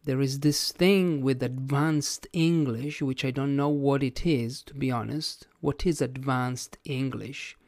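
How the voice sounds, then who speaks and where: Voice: mid-range voice, fairly even intonation, a little slow, few pauses, darkish tone, no room sound, clean studio sound. An English teacher whose first language is Italian, speaking with an Italian accent in a plain-spoken, reflective podcast lesson, thinking aloud as they go.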